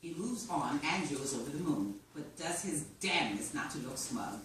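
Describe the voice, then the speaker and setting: Female screenplay narrator, very low register, live reading with strong room echo. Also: expressive, moderate pace, fairly bright tone. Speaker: stage-direction reader at screenplay reading. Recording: echoey room, distant mic, faint noise.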